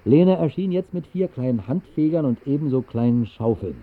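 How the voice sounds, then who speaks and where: An actor narrating a radio drama as a wistful storyteller, measured and deadpan in a literary style. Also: male voice, medium pitch, lightly acted. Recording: muffled band-limited old radio recording.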